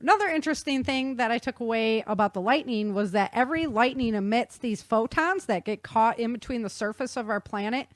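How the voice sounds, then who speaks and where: A woman explaining things in an animated, conversational way with casual slang. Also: on the high side, no echo, clean studio sound. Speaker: guest author explaining research.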